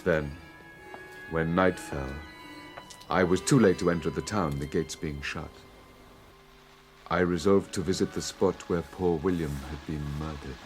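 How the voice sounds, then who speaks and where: A man's voice: sits low, fairly even intonation, a little slow, long pauses, soft background music. A man playing a sombre narrator who recounts his own tragedy in a dramatised audiobook. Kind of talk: scripted first-person audiobook narration.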